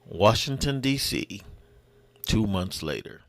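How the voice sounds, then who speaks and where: A male announcer in an audio drama, voiced by an actor, speaking fairly low. He delivers short, declarative captions. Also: very animated, slow pace, a bit bright, heavily acted. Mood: stark. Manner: terse, declarative. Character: male announcer giving terse scene captions. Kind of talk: scripted audio drama narration.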